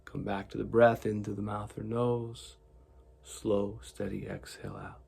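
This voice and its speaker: Low, gravelly man guiding meditation. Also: little pitch movement, a little hiss or hum. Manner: gentle, soothing, deliberate. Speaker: meditation guide. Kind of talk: guided meditation narration.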